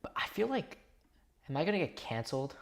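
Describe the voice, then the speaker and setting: A man's voice: on the high side, big pitch swings, a little fast, creaky. A man from India chatting casually on a livestream, rambling and talking to his viewers. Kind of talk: casual live-stream chat.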